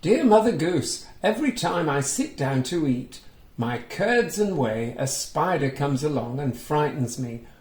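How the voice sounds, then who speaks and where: In a roomy space, a man reads as a troubled nursery-rhyme character pleading for help. The voice is raised, plaintive and emphatic, in unhurried storybook style. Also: on the high side, sing-song, darkish tone.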